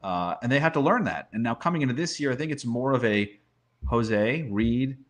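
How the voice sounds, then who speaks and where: A man with a medium-pitched voice talks casually as a baseball analyst. He thinks aloud in a conversational way, working through options and putting rhetorical questions as he goes. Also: animated, moderate pace. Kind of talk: casual sports talk, roster preview.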